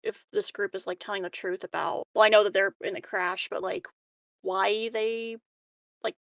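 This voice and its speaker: A woman's voice: medium pitch, steady tone, fairly bright tone, dry recording, studio quality. Tentative woman playing a tabletop game. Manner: tentative, halting. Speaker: player in a tabletop roleplaying game. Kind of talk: casual game discussion.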